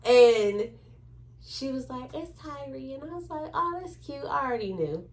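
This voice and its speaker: Higher-voiced woman telling a story casually. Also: moderate pace. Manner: animated retelling with acted-out quotes.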